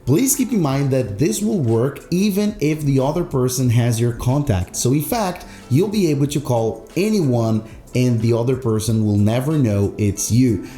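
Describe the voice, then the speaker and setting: Man, tutorial narration, lively swooping pitch, emphatic step-by-step delivery, mellow tone. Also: normal speed, roomy sound, faint music behind. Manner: emphatic, repetitive, step-by-step explaining. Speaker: tech tutorial host. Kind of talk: instructional screen-recorded tutorial narration.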